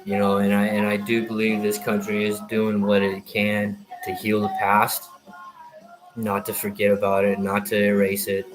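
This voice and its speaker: A man's voice: fairly low pitch, completely flat delivery, moderate pace, over music, poor audio. A man in his thirties, a military veteran, rants through a rambling, heated monologue full of swearing and false starts. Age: 30s. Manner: heated, profane, many restarts.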